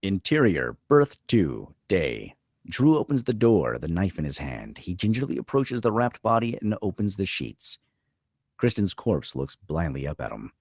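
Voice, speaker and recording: A man's voice: deep voice, big pitch swings, unhurried, a little mellow, breathy edge, no room sound, clean studio sound. A man narrating screenplay stage directions at a table read, reading scripted scene descriptions.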